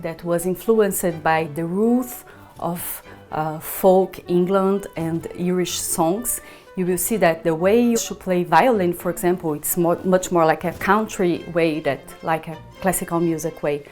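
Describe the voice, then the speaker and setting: Woman conductor, Italian-Brazilian accent, low-pitched, reflective interview delivery, faint music behind. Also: measured pace, darkish tone. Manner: thoughtful, searching for words, hums rhythms. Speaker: orchestra conductor. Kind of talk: promotional interview talk.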